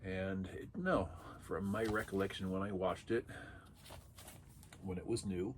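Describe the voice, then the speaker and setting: A man with a low, gravelly voice, thick with congestion because he is under the weather. He rambles casually through an unboxing and drops dry little asides. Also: fairly even intonation, unhurried, a little hiss or hum. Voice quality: raspy. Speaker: vlog host.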